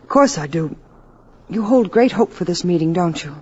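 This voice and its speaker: Earnest, anxious radio-drama heroine. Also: female voice, fairly low pitch, expressive, moderate pace, warm tone, husky. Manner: earnest, hesitant, pleading. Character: anxious, earnest woman protecting a secret visitor. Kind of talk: 1950s radio drama acting. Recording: dull vintage radio recording.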